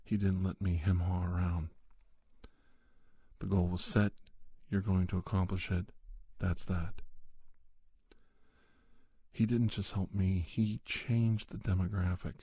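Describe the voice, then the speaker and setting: Male ASMR podcast host, low husky voice, reflective and measured monologue delivery, close and dry. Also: little pitch movement, mellow, no echo.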